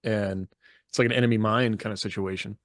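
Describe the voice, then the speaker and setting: A man's voice: on the low side, fairly even intonation, brisk, fairly bright tone, no room sound, clean studio sound. A man hosting a podcast in a casual, conversational style. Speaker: podcast host. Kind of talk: casual discussion.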